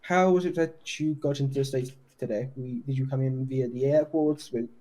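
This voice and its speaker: A man playing a courteous, persistent police detective in an improvised game roleplay, asking halting questions and rephrasing them. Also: mid-range voice, fairly even intonation, dark tone, natural voice, rough recording. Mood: probing. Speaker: role-player in an online game.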